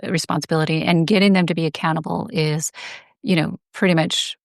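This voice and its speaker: Low-pitched woman, creaky voice. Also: fairly even intonation, brisk, no echo, studio quality. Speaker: guest, advocacy group director. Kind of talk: conversational interview explanation.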